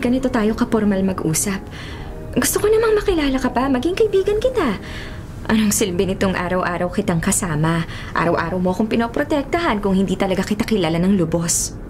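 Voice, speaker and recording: An actress playing a headstrong young college student, a mayor's daughter, in a Filipino radio drama, expressive and insistent, with a Filipino accent. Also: female voice, a little high, noisy background.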